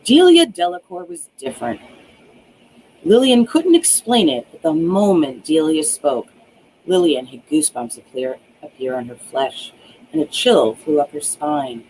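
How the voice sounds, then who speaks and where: A woman reading a story aloud as its author, with an expressive, theatrical delivery in a dramatic audiobook style. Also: low voice, measured pace, a little hiss or hum, low-quality recording. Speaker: author reading their own book aloud.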